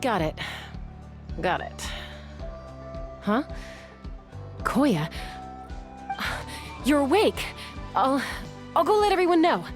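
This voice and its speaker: Slow, hesitant young peacemaker. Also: female voice, high voice, very animated, soft background music, exaggerated voice. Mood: sheepish. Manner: hesitant, halting. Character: confident but sensitive young woman, awkward peacemaker. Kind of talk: video game voice acting.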